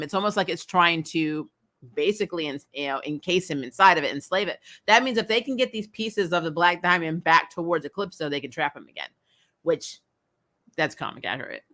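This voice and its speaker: A woman's voice: low-pitched, brisk, a bit bright. A woman hosting a casual livestreamed TV recap, speaking in an emphatic, blunt, opinionated way with casual swearing. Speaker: host of a TV show recap channel.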